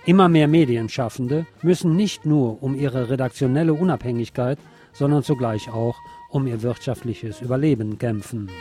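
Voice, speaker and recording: Male radio presenter, mid-range voice, scripted delivery over clear background music. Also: medium pitch, normal speed. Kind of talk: scripted news reading, later live eyewitness report.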